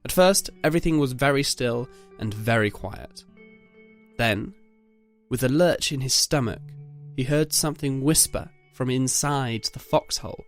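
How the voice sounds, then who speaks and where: A man's voice: mid-range voice, a little slow, soft background music. A man narrating a scripted dramatic story.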